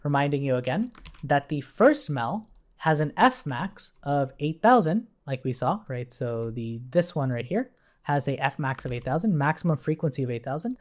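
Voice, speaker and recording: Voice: medium pitch, very animated, moderate pace, darkish tone. A person giving an informal live-coding tutorial, thinking aloud while typing and often checking in with "right?". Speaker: programming tutorial instructor. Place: indoors at a computer, keyboard typing behind.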